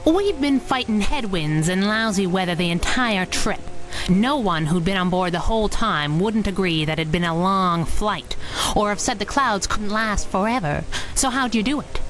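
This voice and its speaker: An actress playing a sassy, suspicious, quick-witted newlywed woman in a 1930s-style radio adventure. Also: medium pitch, expressive, soft background music, lightly acted, low-quality recording. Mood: challenging.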